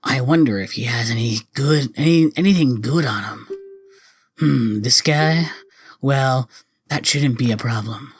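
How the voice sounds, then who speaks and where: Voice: medium pitch, measured pace. Rambling gamer with game music behind. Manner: rambling, self-correcting. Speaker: gaming video host. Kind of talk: casual let's play commentary. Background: video game cutscene music.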